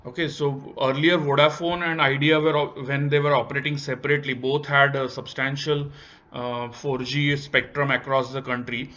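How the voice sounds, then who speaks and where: A man's voice: medium pitch, level tone, normal speed, sharp tone. A man with an Indian accent presenting company analysis over slides, explaining step by step and stressing key points. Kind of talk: semi-scripted slide commentary. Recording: dull, lo-fi voice recording.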